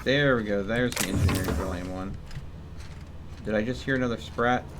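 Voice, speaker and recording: A man's voice: lowish voice, brisk, over music. A man giving casual live commentary as he streams a game, musing to himself as he goes.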